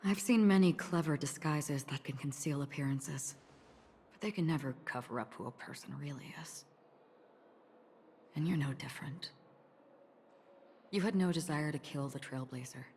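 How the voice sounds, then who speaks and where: Game swordswoman: low, solemn, composed and mysterious, restrained delivery in long reverb. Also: moderate pace, pauses often, darkish tone. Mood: probing, composed. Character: calm, enigmatic swordswoman, somber and resolute. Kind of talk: dramatic game cutscene acting. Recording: long reverb, faint noise.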